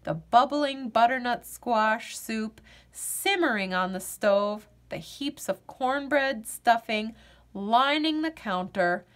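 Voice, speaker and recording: A woman reading a children's picture book aloud in an expressive storytelling manner. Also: fairly high pitch, takes it slowly.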